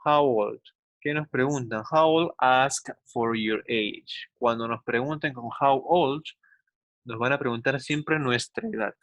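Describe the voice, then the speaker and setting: A man's voice: mid-range voice, a bit bright, no room sound. Didactic man teaching English. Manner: didactic, slowly enunciates English examples, often seeks agreement with tag questions. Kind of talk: instructional online class for children.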